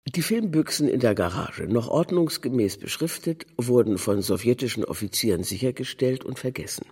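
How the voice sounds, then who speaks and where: A man reads a literary narrative in with measured, deliberate phrasing. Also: mid-range voice, expressive, darkish tone, breathy edge. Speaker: narrator, reader of a literary text.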